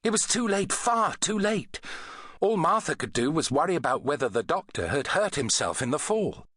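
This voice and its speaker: A man reading an adventure novel as an audiobook narrator, in an expressive, dramatic storytelling manner. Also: on the high side, normal speed, slightly rough, no echo, studio quality.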